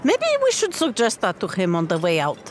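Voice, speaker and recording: A woman's voice: on the low side, wildly swooping pitch, fairly quick, soft background music. A woman playing a big, hearty female adventurer in a tabletop game, casual and plainspoken. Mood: easygoing.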